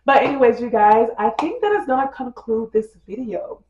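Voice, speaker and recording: A woman's voice: on the high side, lively intonation, moderate pace, slight background noise. A woman vlogger giving a casual tutorial, chatty and full of fillers, a bit congested from a cold. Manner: addresses viewers as "girl" and "you guys". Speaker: lifestyle vlogger. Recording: dull roomy recording with soft music.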